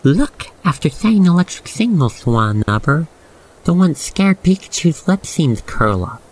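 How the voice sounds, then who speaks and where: An expressive narrator guiding the listener through an ASMR roleplay story in a gushing, sentimental manner. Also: medium pitch, moderate pace, rough recording.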